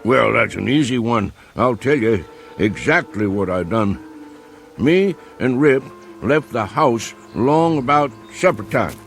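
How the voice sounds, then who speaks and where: An actor playing a stubborn, plain-spoken country hunter in a vintage TV drama, with a rural Southern American accent and homespun manner. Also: male voice, medium pitch, expressive, measured pace, creaky, soft background music. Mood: amiable, puzzled. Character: stubborn, plain-spoken backwoods male coon hunter, loyal to dog. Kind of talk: vintage television drama acting.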